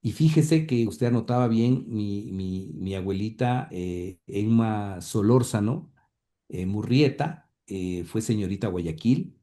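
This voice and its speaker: Guy telling old family stories, lots of ums and repeats, deep mellow voice. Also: slow pace. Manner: hesitant, many fillers and repeated words. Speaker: interview guest, grandson of the radio pioneer. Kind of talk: conversational storytelling interview.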